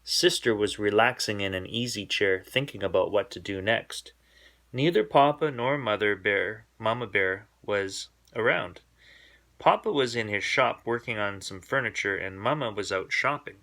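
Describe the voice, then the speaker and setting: A man reading a children's bedtime story aloud in an expressive, dramatic storybook narration style. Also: on the low side, normal speed.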